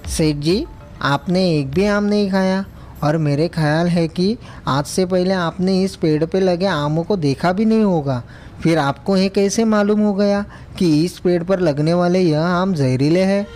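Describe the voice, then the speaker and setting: A man's voice: sits high, a little slow, a little mellow, faint music behind. A man playing a naive, respectful cart driver in a moral story, speaking earnestly and deferentially. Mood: respectful, puzzled. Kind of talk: acted moral story dialogue.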